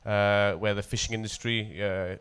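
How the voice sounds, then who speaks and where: A man from North Wales with a North Welsh accent, a poet introducing and reading poetry at a festival in a measured, deliberate way. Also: lowish voice, steady tone, fairly bright tone, clean tone. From coastal North Wales.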